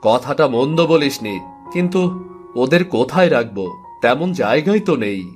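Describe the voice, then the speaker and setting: A man's voice: mid-range voice, expressive, moderate pace, faint music behind, lightly acted. A man voicing a humble, good-natured village farmer and father in a cartoon story. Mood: thoughtful.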